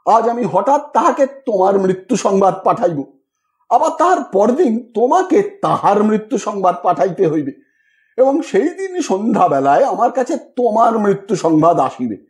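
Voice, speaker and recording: A man voicing a shrewd, self-righteous old gentleman who talks in a measured, persuasive way in an audio story. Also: high-pitched. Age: older.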